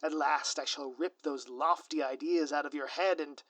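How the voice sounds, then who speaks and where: A man's voice: a little high, steady tone, moderate pace, a bit bright, dry recording, lightly acted. A man playing a grandiose, scheming supervillain in an audio roleplay, with florid, pompous, melodramatic delivery. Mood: gloating. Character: grandiose theatrical male supervillain, lovesick and scheming. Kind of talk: scripted audio roleplay monologue.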